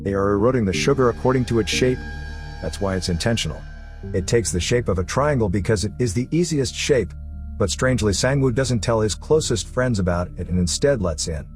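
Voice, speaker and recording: A man with a fairly low voice delivers scripted recap narration. He tells a story as a narrator, and music plays clearly underneath his voice throughout. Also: lively intonation, brisk. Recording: music running behind the voice. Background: background music.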